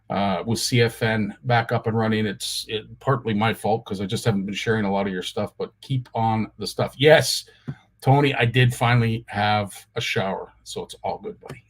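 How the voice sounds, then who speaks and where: Male host, raspy strained voice, middle pitch, friendly roll-call of viewers. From Canada. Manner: greets viewers one by one. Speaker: live show host. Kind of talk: casual livestream chat.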